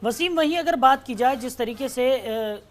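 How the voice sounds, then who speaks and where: A woman's voice: high-pitched, moderate pace. A woman news anchor, putting questions to a reporter in a live news exchange.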